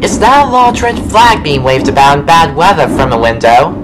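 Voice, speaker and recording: A man's voice: fairly high pitch, sing-song, moderate pace, very noisy. A man playing a matter-of-fact railway fireman in a fan audio drama. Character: plain-spoken male railway fireman. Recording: background music.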